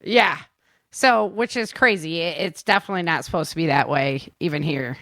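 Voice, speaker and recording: A woman's voice: deep voice, fairly quick, a bit bright, no room sound. A woman hosting a casual chat in a talkative, giggly way, often acting out little quotes. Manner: chatty, laughs often, acts out little quotes. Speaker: audio drama producer and show host.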